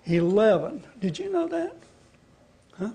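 A man's voice: high-pitched, big pitch swings, measured pace, mellow, slightly rough. An older man gives rambling, folksy public testimony at a podium, often checking in with his listeners. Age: older, 70s or above. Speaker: citizen activist testifying to a legislative committee. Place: large indoor hearing room with seated audience. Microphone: gooseneck podium microphone.

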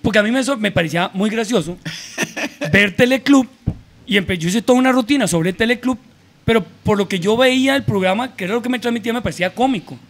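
Costa Rican man in his 40s, high voice, animated, slangy podcast storyteller at a table mic. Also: quick pace. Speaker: guest. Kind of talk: casual podcast chat. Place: small room with a mic on a table. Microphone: studio mic with headphones.

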